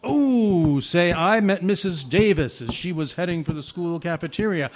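A man's voice: sits high, lively intonation, normal speed, slight background noise. A man playing a mild, bashful, earnest schoolteacher in an old-time radio comedy, hesitant and naive in manner. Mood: shy, amiable. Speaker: actor in a radio play.

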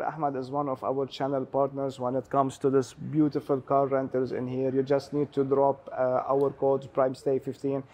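A man hosting an interview show, upbeat, introducing his guest and jumping in with quick remarks. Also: mid-range voice, a little mellow. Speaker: show host. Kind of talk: casual interview.

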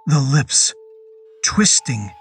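A man narrating a scripted horror story in a dramatic, suspenseful way, with deliberate phrasing and pauses. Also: mid-range voice, very animated, faint music behind.

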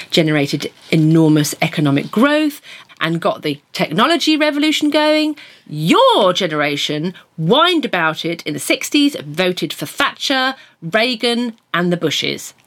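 A woman's voice: on the low side, fairly bright tone. A woman playing a magazine editor in a scripted comedy dialogue, blunt and sardonic.

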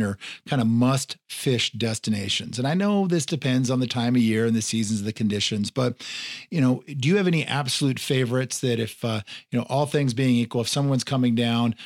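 A man hosting a podcast, asking his guests questions in a relaxed, joking way. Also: mid-range voice, gravelly, no echo, clean studio sound. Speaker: podcast host. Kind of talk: interviewing guests.

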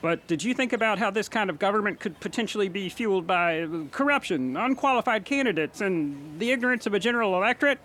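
A woman voicing skeptical, fussy townsfolk in a storybook, lecturing quickly. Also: medium pitch, expressive, fairly bright tone, a little hiss or hum, voice pushed up, close to an everyday voice.

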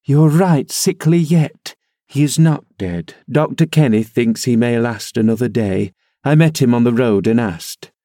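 A man's voice: medium pitch, unhurried, warm tone, dry recording, clean studio sound, close to an everyday voice. A man voicing a gossipy older female servant who talks in rustic dialect. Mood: fussing. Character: chatty older female servant, country-spoken.

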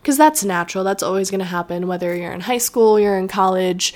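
A woman's voice: medium pitch, brisk, a little mellow. A young woman hosting a solo podcast in a chatty, rambling, reflective way, sounding a little nasal from allergies. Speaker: podcast host. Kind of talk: casual solo podcast chat.

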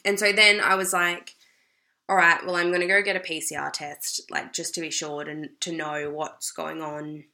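A young adult woman with an Australian accent, slightly hoarse from a cold, chatting loosely to camera, full of "like" and "you know". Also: deep voice, level tone, moderate pace, bright, forceful tone. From South Australia. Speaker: vlogger. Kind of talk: casual talk to camera.

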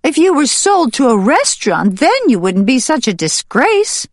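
A woman's voice: very animated, normal speed, dry recording, clean studio sound. A woman voicing a scornful, scolding farmyard hen in a storybook reading. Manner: shrill, scolding.

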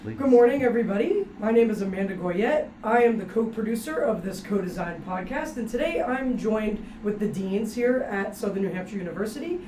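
A woman's voice: mid-range voice, animated, a little fast, hardly any pauses, dark tone, soft background music. Young woman hosting from a distance. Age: young adult. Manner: upbeat and informal. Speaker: podcast host. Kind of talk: casual interview hosting. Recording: echoey room, far from mic, noisy.

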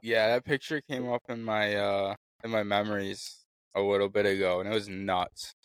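A man's voice: lowish voice, steady tone, normal speed, clean tone, no echo. A man co-hosting a casual podcast from a small bait-shop studio, laid-back and mostly chiming in with short replies. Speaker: podcast co-host.

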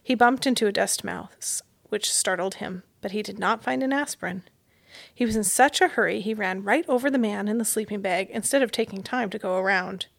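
A woman reading a children's story aloud in an expressive, deliberate storytelling manner. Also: medium pitch, gravelly. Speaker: mystery guest reader, school staff. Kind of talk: children's audiobook read-aloud.

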